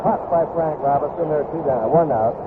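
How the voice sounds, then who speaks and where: A man's voice: on the high side, a little fast. Tinny, noisy radio baseball announcer, American man. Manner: clipped phrases reciting counts and statistics. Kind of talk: live radio sports commentary. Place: outdoor ballpark broadcast position.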